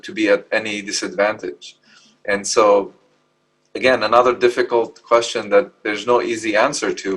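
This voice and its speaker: A man from Lebanon who is not an English speaker, talking in a reflective, measured way as a scientist on a panel. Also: lowish voice, fairly bright tone, low-quality recording. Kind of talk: thoughtful panel discussion. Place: live panel event in a hall.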